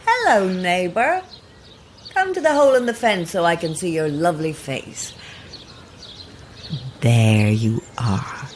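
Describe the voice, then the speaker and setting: A sweet old lady in a radio horror drama, low in pitch and swooping melodically as she coos and coaxes like a grandmother. Something faintly sinister sits under the doting manner, and outdoor noise with birdsong runs behind her slow speech. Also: expressive. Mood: doting, coaxing. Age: older. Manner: cooing, coaxing, grandmotherly. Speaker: actor in audio horror drama. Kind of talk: naturalistic immersive radio drama acting.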